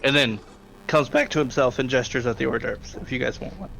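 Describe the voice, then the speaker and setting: A man with a gravelly voice, narrating a tabletop game as game master in a casual way. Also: mid-range voice, a little fast, a bit bright, slight background noise, poor audio.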